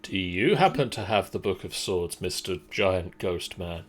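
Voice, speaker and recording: A man with a creaky voice chatting and joking casually as a player in a group game. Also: low voice, expressive, measured pace.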